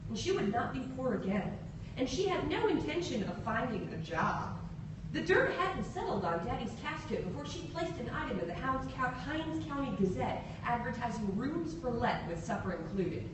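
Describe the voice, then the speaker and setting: A woman reads a short story aloud to a room in a theatrical, animated way. Her voice is fairly high and swings widely in pitch. It is picked up from afar in a very echoey space. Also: moderate pace. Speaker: reader at a literary event. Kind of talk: dramatic live reading of a short story in character. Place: indoor hall at a podium, audience present. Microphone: far camera. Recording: echoey room from far off, noisy.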